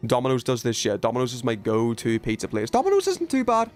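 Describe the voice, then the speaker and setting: A man from the UK streams a video game and chats casually with his viewers. He has a middling pitch and talks in an opinionated, emphatic way, swearing freely. Music plays behind his voice. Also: wildly swooping pitch, fast talker, darkish tone. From United Kingdom. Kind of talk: casual chat with viewers while gaming.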